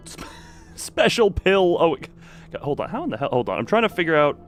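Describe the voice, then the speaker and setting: A man giving casual live game commentary, halting and wry as he thinks aloud. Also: fairly high pitch, big pitch swings. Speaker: game streamer. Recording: faint game music behind.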